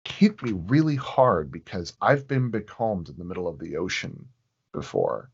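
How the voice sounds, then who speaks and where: A man telling stories casually in a conversation, with a reflective, halting way of phrasing things. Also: low voice, big pitch swings, creaky, highs cut off, slight background noise. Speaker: podcast guest.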